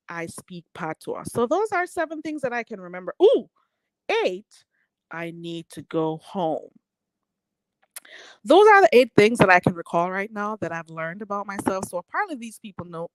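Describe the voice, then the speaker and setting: A woman's voice: medium pitch, no echo, low-quality recording. A woman hosting a casual livestream, reading out chat comments and chatting in a rambling way with lots of fillers and frequent laughter.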